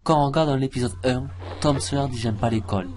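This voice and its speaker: A man's voice: mid-range voice, fast, darkish tone. A man hosting an informal cartoon review, with a jokey, mocking and slangy way of talking. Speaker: video host. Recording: voice-over with music behind. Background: music.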